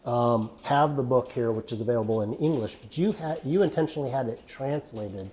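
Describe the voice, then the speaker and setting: A man's voice: medium pitch, brisk, a little mellow, a little hiss or hum. A man hosting a casual faith interview, chatty and affirming, with plenty of fillers. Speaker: podcast host.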